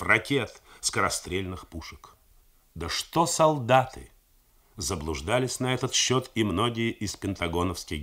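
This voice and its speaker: A man narrating a novel in a measured, theatrical reading style for a 1980s radio broadcast. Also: fairly low pitch, fairly bright tone. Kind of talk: scripted literary narration.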